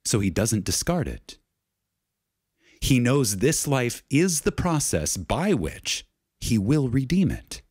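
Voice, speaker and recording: A man reading a spiritual lecture aloud in a reverent, dramatic way, solemn and emphatic, with deliberate pauses. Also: mid-range voice, big pitch swings, a little mellow, husky, no echo, studio quality.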